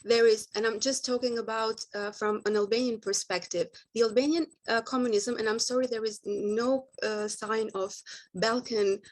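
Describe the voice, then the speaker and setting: A woman's voice: fairly high pitch, fairly even intonation, moderate pace. A woman adding a thoughtful comment in an academic discussion over a video call, with frequent hesitant fillers. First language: not Italian. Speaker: audience member asking a question.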